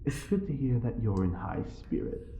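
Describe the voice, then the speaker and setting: Male for fussy, squeamish audio-drama convict schemer; animated pitch, fry in the voice, theatrically wounded dignity. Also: normal speed, roomy sound, a little hiss or hum. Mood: dry reassuring. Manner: prim, wry, theatrically indignant.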